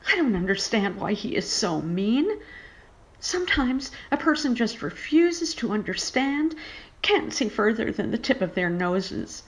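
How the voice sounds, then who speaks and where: A woman's voice: fairly high pitch, big pitch swings, unhurried, poor audio. A woman playing a homeless old bag lady in a radio horror drama, muttering plaintively to herself with theatrical indignation. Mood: weary muttering. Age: older. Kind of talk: audio horror drama acting.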